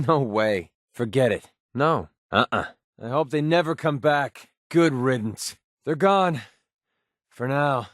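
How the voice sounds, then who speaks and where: Cocky mercenary, wildly swinging pitch. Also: male voice, lively intonation, takes it slowly, no room sound, studio quality. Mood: dismissive, wry. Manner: sardonic, cocky, clipped. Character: cocky, sardonic young male wasteland mercenary gunman. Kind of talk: video game companion voice lines and combat barks.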